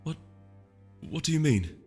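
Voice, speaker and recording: A man's voice: on the high side, sing-song, measured pace, dark tone, husky, pitched above the performer's own voice, exaggerated voice. A fussy, deferential stallion aide, put on by a male reader, polite and placating in a storybook tale. His anxious voice rings in long reverb over music. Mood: shocked.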